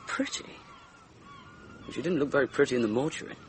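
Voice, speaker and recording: Brisk British inspector over background noise. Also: male voice, mid-range voice, huge pitch swings. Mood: businesslike. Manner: matter-of-fact, slightly patronising. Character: brisk, condescending, modern-minded male police inspector. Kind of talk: radio drama acting.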